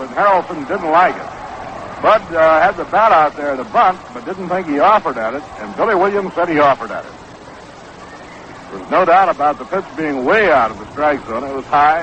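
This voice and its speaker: A man with a slightly high American voice calls a baseball game play-by-play. The style is 1960s radio sportscasting. The recording is a little muffled, with faint noise behind him. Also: fairly bright tone. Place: outdoor ballpark broadcast booth. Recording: dull, noisy 1960s AM radio broadcast.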